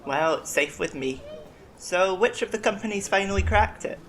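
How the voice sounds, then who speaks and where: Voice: medium pitch, expressive, normal speed, a bit bright, a little hiss or hum. An actor playing an eccentric, long-lived alien medic in an audio drama, reflective, kindly and wryly self-deprecating. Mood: intrigued.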